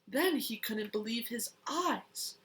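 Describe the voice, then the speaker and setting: A young child reading a picture book aloud in a deliberate, expressive way. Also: medium pitch. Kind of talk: storybook read-aloud.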